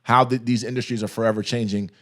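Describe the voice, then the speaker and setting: A man's voice: medium pitch, flat delivery, fast talker, a bit bright. A man hosting a podcast in casual chat, giving advice and walking through contract examples. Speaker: podcast host.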